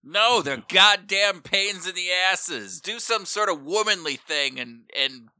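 A man's voice: sits high, lively intonation, unhurried, sharp tone, no room sound. A brash, sarcastic man playing a tabletop roleplaying game, casually describing and acting out his character.

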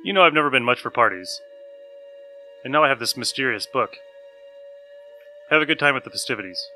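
A man playing a bright-voiced, studious professor. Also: mid-range voice, expressive, brisk, faint music behind. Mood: intrigued, polite. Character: studious, overworked male university professor, absorbed and uneasy. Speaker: academic. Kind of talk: scripted audio drama dialogue.

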